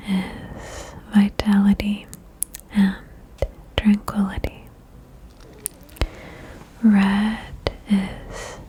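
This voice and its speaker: A woman whispering a guided ASMR meditation in a breathy, soothing way, with gentle instructions and long pauses. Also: medium pitch, slight background noise, low-quality recording. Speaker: ASMR host guiding a relaxation game.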